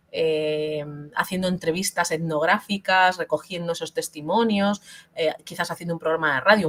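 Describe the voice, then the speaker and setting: Outspoken woman from spain, kinda low voice, chatty and blunt on a video call. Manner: frank, outspoken, many fillers and restarts. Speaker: invited author and linguist. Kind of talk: webinar interview, casual and blunt.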